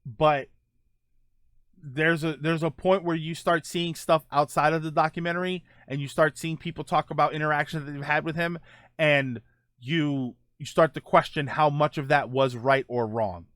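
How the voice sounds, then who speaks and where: A man's voice: fairly high pitch, a bit bright, no room sound, clean studio sound. A middle-aged man hosting a casual podcast, rambling through viewer comments with many fillers and self-corrections. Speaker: podcast host.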